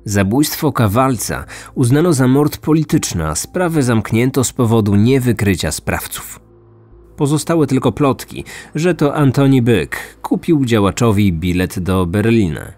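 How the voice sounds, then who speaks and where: A man hosting a true-crime podcast, narrating from a script in measured storytelling style. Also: mid-range voice, expressive, a little mellow. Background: background music.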